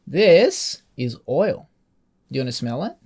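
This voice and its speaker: Male host for children's scripture reading: highly expressive pitch, patient explanations, question-led. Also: measured pace, husky. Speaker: host of a Bible reading show.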